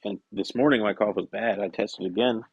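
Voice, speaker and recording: A young man chatting casually on a work-shop live stream, rambling and correcting himself, his voice hoarse and scratchy from a cough. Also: fairly low pitch, a little fast, no room sound, dull audio. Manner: rambling, self-correcting, soft-spoken asides. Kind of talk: casual live-stream chat while working.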